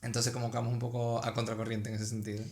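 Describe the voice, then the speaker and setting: Even-toned man chatting in an echoey room. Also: mid-range voice, fast, vocal fry. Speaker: podcast host. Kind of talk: casual interview chat.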